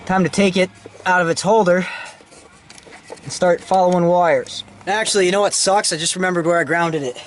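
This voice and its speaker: Man, high pitch, casual DIY how-to narration, informal, working while talking, in-vehicle recording, faint background noise. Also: steady tone, normal speed. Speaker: DIY car installation vlogger. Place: inside a Jeep, handheld camera.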